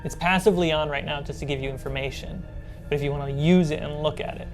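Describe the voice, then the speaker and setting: A woman game master narrating a tabletop horror game in a descriptive, casual way with pauses. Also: low voice, steady tone. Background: music.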